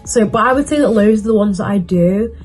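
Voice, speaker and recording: Chatty young woman over soft music. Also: medium pitch, fairly quick, breathy edge. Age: young adult. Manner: chatty, filler-heavy, self-assured. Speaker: university student vlogger. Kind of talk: casual advice vlog.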